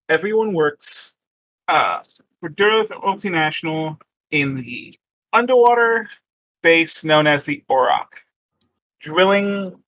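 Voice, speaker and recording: A man's voice: a little high, a bit bright, no room sound, rough recording. A man running a tabletop horror game, setting the scene in a loose, rambling way full of fillers. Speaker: game master. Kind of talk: casual tabletop game narration.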